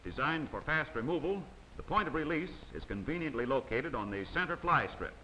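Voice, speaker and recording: A man's voice: on the high side, very animated, brisk, bright, forceful tone, poor audio. Formal instructive male film narrator. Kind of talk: scripted documentary narration. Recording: faint hiss of old 16mm optical soundtrack.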